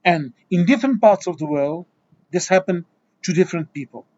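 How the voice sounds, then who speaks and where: A man's voice: high-pitched, animated, brisk, a little mellow, lo-fi sound. A man from Portugal, a Portuguese native speaker, giving an exasperated, lecturing opinion monologue full of restarts and repeated words. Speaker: video essayist.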